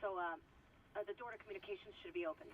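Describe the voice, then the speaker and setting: A man's voice: high-pitched, very fast, muffled recording. An actor voicing a tense male engineer who gives urgent instructions over a radio link in a game. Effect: radio comms. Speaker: ship crew member on radio. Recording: distant radio voice under game noise.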